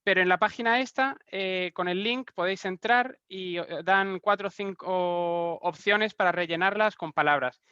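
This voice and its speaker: A man's voice: high-pitched, moderate pace, hardly any pauses, pushed and bright, clear, resonant tone, no echo, lo-fi sound. A man in his thirties with a Spanish accent, giving a casual online talk with frequent restarts and fillers. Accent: Spanish (Spain). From Argentina, raised in Spain. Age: 30s. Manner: hesitant, many restarts and fillers. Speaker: guest speaker. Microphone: video call.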